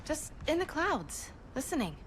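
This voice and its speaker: A woman's voice: high voice, sing-song, moderate pace, a little hiss or hum. A woman voicing a restless, sheltered young superhero in a video game cutscene. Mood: wistful.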